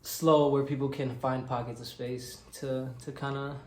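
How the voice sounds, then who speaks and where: A man's voice: mid-range voice, flat delivery, unhurried, reverberant, a little hiss or hum. A young man from Mexico answering interview questions in a laid-back, rambling way with many fillers. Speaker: interviewed musician.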